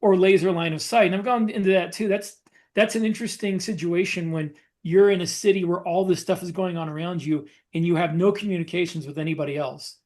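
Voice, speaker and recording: A man's voice: high voice, fairly even intonation, fairly quick, darkish tone. A man co-hosting a writers' podcast, explaining things in a casual panel discussion. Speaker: podcast co-host and military science fiction author.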